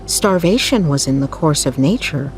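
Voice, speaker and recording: A woman reading a bedtime story as narrator, in a soothing, measured, literary delivery. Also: sits low, animated, faint music behind.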